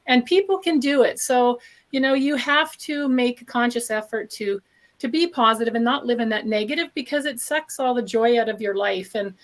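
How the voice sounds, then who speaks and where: A woman's voice: on the high side, normal speed. Upbeat woman with a voice worn by illness. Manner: upbeat, earnest, many ums. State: voice going from illness. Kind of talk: casual testimonial on a group call.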